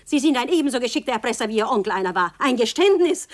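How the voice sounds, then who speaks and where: Middle-aged aunt played by an actress, fairly high voice, haughty, defiant, cutting, theatrical 1950s radio acting. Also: female voice, expressive, quick pace, close to an everyday voice. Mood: scornful, defiant. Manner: imperious, biting, theatrical. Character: proud, scornful, defiant aunt accused of murder. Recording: 1950s radio drama recording.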